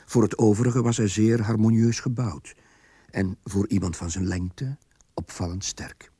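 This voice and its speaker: A man reading scripted radio drama narration in a measured, literary way. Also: lowish voice, steady tone, mellow, slightly rough, no echo, studio quality.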